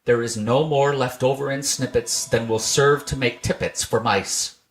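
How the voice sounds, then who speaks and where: Man reading as old tailor, poor and weary, muttering, quaint phrasing, lifted pitch, storybook character. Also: steady tone, normal speed, natural voice. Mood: musing.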